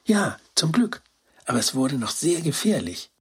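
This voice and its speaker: An earnest young dog girl from a children's storybook, voiced by a man, speaks with childlike excitement. The voice is very breathy and husky, with lively, swinging intonation. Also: on the high side, normal speed, heavily acted. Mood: earnest, excited. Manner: childlike, excited storytelling with naive colloquial words. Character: Gretine, young female toy-rescuing dog, earnest and compassionate.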